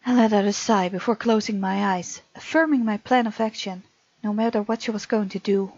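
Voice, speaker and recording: A woman's voice: medium pitch, a little fast, darkish tone, no room sound, dull audio. A woman hosting a let's play, reading game text aloud and reacting casually and expressively. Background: faint game music.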